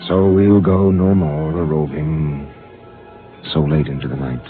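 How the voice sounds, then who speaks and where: An actor playing a stern but torn ship captain with a firm, controlled delivery, in a 1950s radio drama. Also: male voice, very deep voice, fairly even intonation, measured pace. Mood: solemn. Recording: tinny 1950s radio transcription with music.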